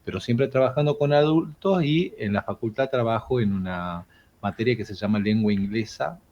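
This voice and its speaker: A man's voice: mid-range voice, normal speed. A middle-aged Argentine man and English teacher, talking casually and explaining at length with many fillers. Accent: Argentine Spanish. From Argentina, Jujuy province. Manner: relaxed, explanatory, with frequent fillers. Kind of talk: casual interview conversation. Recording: dull lo-fi call audio with faint noise.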